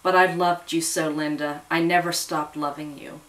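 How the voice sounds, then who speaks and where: Woman voicing a soft, apologetic man in love. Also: low voice, level tone, normal speed, echoey room, recorded from a distance, low-quality recording. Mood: tender. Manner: soft, earnest. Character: gentle, apologetic man confessing long-hidden love.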